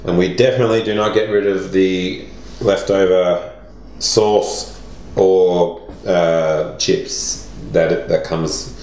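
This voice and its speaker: Young guy, very low voice, laid-back dry humor, echoey home kitchen, faint background hum. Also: steady tone, slow, darkish tone. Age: young adult. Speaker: cooking show co-host. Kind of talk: casual cooking demo banter. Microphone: camera mic at mid distance.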